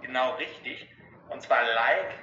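A man from Germany, a travel blogger, talking relaxed and casual as a guest over a call, with many fillers. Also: medium pitch, unhurried. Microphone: call audio. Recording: dull echoey call audio with faint noise.

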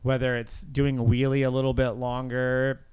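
A man's voice: medium pitch, little pitch movement, normal speed, a little mellow, clear, resonant tone, no room sound. A middle-aged man hosting a podcast, telling stories in a casual, earnest and reflective way. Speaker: podcast host.

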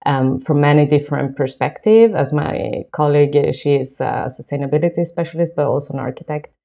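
A woman, talking as an interview guest in a relaxed, thoughtful way with soft laughs. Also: low voice, fairly quick, gravelly. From southern Chile. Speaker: guest, lighting designer. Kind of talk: casual interview talk. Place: home.

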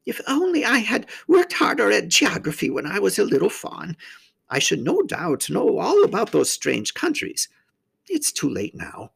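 A kindly storybook faun, pitched far up and breathy. He is formal and flustered, stammering politely as his voice leaps about in great swoops. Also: huge pitch swings, a little slow, heavily acted. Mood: melancholy.

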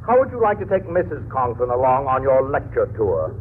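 Stern boss on a tinny phone. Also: male voice, medium pitch, sing-song, brisk. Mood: commanding. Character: stern, authoritative male superior giving orders. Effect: telephone filter. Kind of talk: 1950s radio comedy acting. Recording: tinny phone line, noisy vintage radio.

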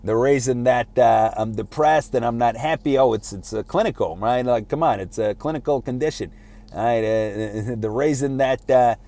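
A man giving an informal motivational lecture in a halting, conversational way, often repeating words and posing rhetorical questions. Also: medium pitch, warm tone. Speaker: speaker giving a self-improvement talk.

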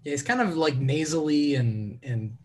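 A man's voice: on the high side, moderate pace, darkish tone. A man guesting on a podcast, trading casual banter.

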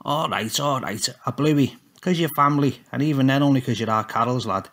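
A man playing a feared, gruff old grandfather who talks bluntly and barks his lines. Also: mid-range voice, a little fast, fairly bright tone, pitched above the performer's own voice, natural voice. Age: older. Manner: blunt, barking, scolding.

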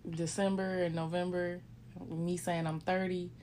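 Relaxed thirty-year-old woman, lowish voice. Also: unhurried, a little hiss or hum. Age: 30s. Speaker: podcast co-host. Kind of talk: casual chat.

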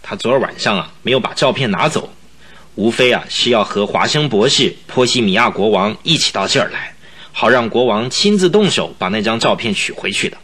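Anxious suitor for radio play: protective, raspy, highly animated delivery. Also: male voice, fairly quick. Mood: alarmed. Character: anxious, protective gentleman, the heroine's husband-to-be. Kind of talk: Mandarin radio drama acting.

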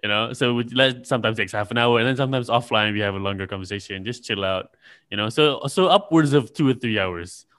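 A man's voice: mid-range voice, fast, a bit bright. A man co-hosting a podcast over a call, teasing his partner with mock exasperation in casual chat. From Malaysia. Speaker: podcast co-host.

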